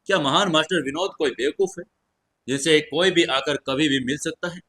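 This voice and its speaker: Man voicing a haughty young sorceress student, husky. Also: a little high, normal speed, a bit bright. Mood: scornful. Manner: scornful, condescending. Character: haughty, mocking young female magic student.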